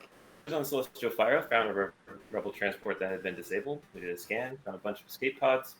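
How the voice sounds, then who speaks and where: Man acting as male space mercenary, slightly low voice, confident, unruffled, clipped, evasive, echoey noisy recording, sci-fi table read. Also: brisk, a bit bright, lightly acted. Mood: guarded. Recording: roomy echo with noticeable background noise.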